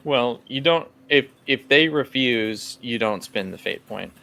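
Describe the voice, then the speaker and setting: A man acting as game master, casually explaining and setting scenes for a tabletop game in a halting, conversational way. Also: mid-range voice, animated, gravelly, sharp tone.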